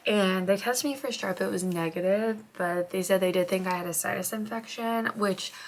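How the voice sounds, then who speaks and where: A young woman vlogging as a stay-at-home mom, chatting casually and rambling with lots of "like", her voice slightly hoarse and congested after being sick, sometimes dropping to a soft near-whisper. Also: mid-range voice, normal speed. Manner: chatty, rambling, heavy use of "like". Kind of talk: casual chat to camera. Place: home, getting ready.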